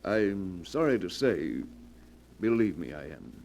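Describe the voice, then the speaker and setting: Deep, gravelly, grave man. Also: very animated, takes it slowly, darkish tone, exaggerated voice. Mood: grave regret. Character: grave man breaking bad news regretfully. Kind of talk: 1940s radio drama acting. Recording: noisy old radio broadcast recording.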